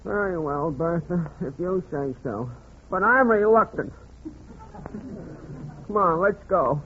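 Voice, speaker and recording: High-voiced meek henpecked husband. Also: male voice, expressive, slow pace, exaggerated voice. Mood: meek, wistful. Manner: hesitant, plaintive, submissive. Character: meek henpecked little husband, reluctant and wistful. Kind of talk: 1940s radio comedy acting. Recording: noisy, muffled 1940s radio recording.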